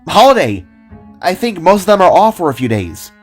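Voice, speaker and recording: Man doing an excitable, squealing young wife. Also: sits high, normal speed, soft background music, voice pushed up. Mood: excited. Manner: urgent, squealing, exclamatory. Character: excitable, giddy, pregnant young wife, bossy and affectionate. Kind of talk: audio drama character acting.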